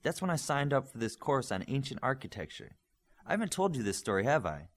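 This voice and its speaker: A man voicing an eager, friendly boy superhero trainee in an audiobook, earnest and excitable. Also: mid-range voice, a little fast, pitched above the performer's own voice, close to an everyday voice. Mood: reflective.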